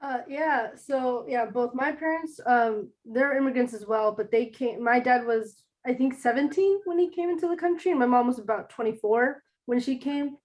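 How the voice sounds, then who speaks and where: Woman, podcast guest, slightly high voice, tentative, hesitant fillers. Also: moderate pace, hardly any pauses, darkish tone, poor audio. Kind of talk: casual chat.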